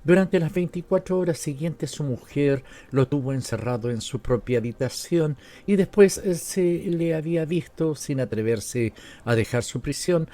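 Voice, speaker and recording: A Latin American man narrates literary fiction in Spanish-accented storytelling form. His voice is mid-pitched, soft-edged and mellow. Music plays behind his reading. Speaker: audiobook narrator. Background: background music.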